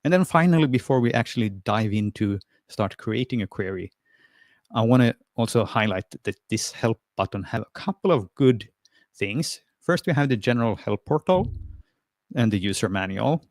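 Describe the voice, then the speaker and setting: A man's voice: on the low side, animated, normal speed, a little mellow, dry recording, clean studio sound. A man from Sweden, presenting a friendly, hesitant screen-share tutorial over a video call, with lots of fillers and restarts. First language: Swedish. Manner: friendly and encouraging.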